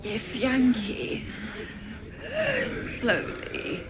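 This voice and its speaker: An actress playing a dying woman, speaking in halting, clipped fragments. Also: on the high side, a crowd in the background. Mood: weary. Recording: game scene with loud ambient noise.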